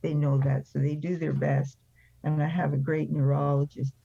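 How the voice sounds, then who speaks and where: Gravelly older woman, blunt and emphatic. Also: sits low, little pitch movement, moderate pace, dark tone, no echo. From France. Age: 70s or older. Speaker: interview guest, recovery speaker. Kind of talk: personal storytelling in an interview. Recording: slightly dull, band-limited sound.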